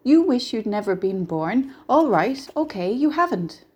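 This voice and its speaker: A woman's voice: mid-range voice, lively intonation, normal speed, warm tone, lightly acted. A woman voicing a kindly, mysterious little male peddler in a storybook, patient, coaxing and cheerfully reassuring. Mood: delighted.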